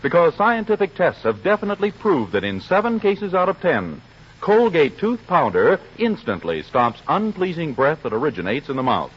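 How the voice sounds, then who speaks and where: A man with a lively, slightly high voice delivers an emphatic 1940s radio sales pitch. He is polished in the old announcer manner, heard on a muffled broadcast recording. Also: moderate pace, breathy edge.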